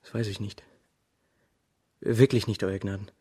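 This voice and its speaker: An actor playing a timid, lovestruck servant's son, halting, husky and deferential, in a German radio crime drama. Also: male voice, on the low side, flat delivery, mellow. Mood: wistful, hesitant.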